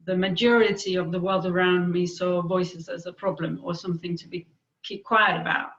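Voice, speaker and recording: A woman's voice: lowish voice, fairly even intonation, a bit bright. Danish-accented woman, thoughtful and muffled. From Denmark. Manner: thoughtful, with frequent pauses and fillers. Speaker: guest, peer expert on hearing voices. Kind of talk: reflective interview answers.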